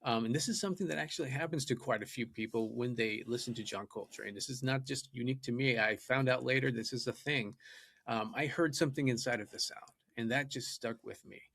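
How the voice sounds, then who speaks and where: A man's voice: mid-range voice, brisk, creaky, fairly bright tone, dry recording. A man whose first language is Japanese, a podcast guest telling his story in an earnest, eager way. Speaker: guest, data scientist and musician. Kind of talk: podcast interview.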